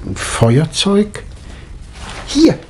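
An actor playing an inquisitive doctor and amateur sleuth in a 1960s German radio play. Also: male voice, high-pitched, wildly swooping pitch, drawn-out words, exaggerated voice. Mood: conspiratorial, focused. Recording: old vinyl record with surface noise.

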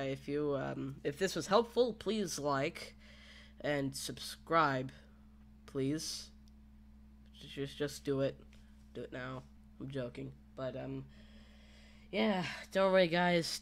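A man hosting a casual software tutorial, talking in a hesitant, rambling way. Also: fairly high pitch, takes it slowly. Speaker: tutorial host. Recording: home microphone with background noise.

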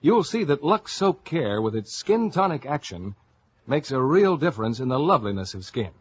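A male announcer from 1950s radio delivers scripted sales copy. His mid-pitched voice is lively and slightly rough, heard through a dull vintage broadcast. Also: normal speed.

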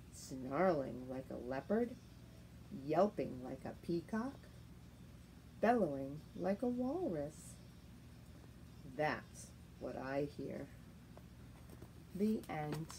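A middle-aged woman, a teacher reading a picture book aloud to young children in a deliberate, sing-song, expressive way, recorded at home. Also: deep voice, poor audio. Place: living room at home, indoors. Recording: faint steady noise, home room recording.

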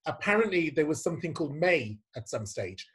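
A man's voice: a little high, sing-song, a little fast, fairly bright tone. A man hosting a casual interview over a video call from a home room, camp, playful and effusive. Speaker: host.

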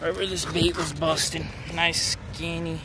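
A man's voice: a little high, little pitch movement, measured pace, noisy background. A young man casually vlogging his fishing catches to viewers, talking into a handheld phone outdoors. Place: outdoors at a city pond edge. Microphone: phone camera held in hand.

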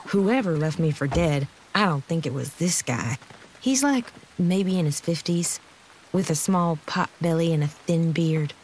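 A woman's voice: deep voice, animated, a little slow, darkish tone, slight background noise, rough recording. An actress playing a confused young woman left for dead, narrating her story in a casual, wry, hesitant way for an audio drama. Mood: wary. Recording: dramatic music behind the voice.

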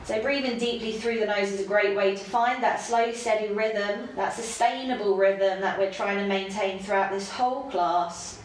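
A young woman with a stuffy nose from hay fever, teaching a casual yoga class at home, chatty with laughing asides, then slow and calm cues. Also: mid-range voice. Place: home room with yoga mat. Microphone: camera across the room. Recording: very echoey room, faint background hiss.

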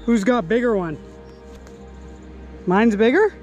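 A young man fly fishing outdoors while wading in a river, excitedly commenting on each catch into a body-worn action camera. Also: high-pitched, takes it slowly, dull audio, faint music behind. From Canada. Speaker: fly-fishing angler and host. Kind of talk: casual outdoor vlog commentary.

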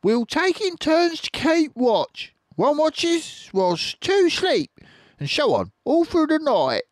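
A man reading a storybook aloud and voicing a cruel, cunning male farmer with loud, sneering menace. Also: very high-pitched, sing-song, slow pace, no echo, studio quality, voice pushed up, full character voice. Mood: menacing.

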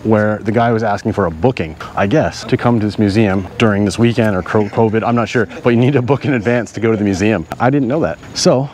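A man's voice: on the low side, fast, hardly any pauses, darkish tone. Easygoing man vlogging outdoors. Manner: easygoing, thinking aloud, unplanned. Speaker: travel vlogger. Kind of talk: casual travel vlog. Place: outdoors in parks and streets. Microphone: handheld camera at arm's length.

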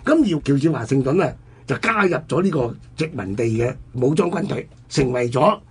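Man, Hong Kong Cantonese accent, very husky, lively intonation, radio history host, explanatory storytelling. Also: medium pitch, moderate pace.

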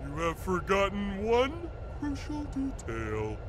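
A dim, good-natured starfish from a cartoon game. He talks slowly and goofily, with big lazy swings of pitch over clear background noise. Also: huge pitch swings, exaggerated voice. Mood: self-important. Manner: goofy, slow-witted. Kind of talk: cartoon video game sidekick acting.

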